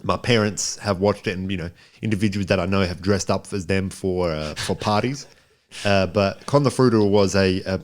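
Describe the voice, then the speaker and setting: A man with a low voice co-hosts a film podcast. He talks in casual banter, rambling and jokey, often repeating a phrase to push his point. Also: moderate pace. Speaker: podcast co-host.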